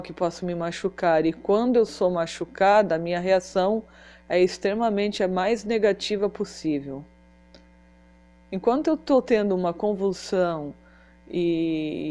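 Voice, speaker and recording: A woman talking in a personal vlog, halting with pauses and restarts, Portuguese not her first language. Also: on the low side, dark tone. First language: another language than Portuguese. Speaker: vlogger.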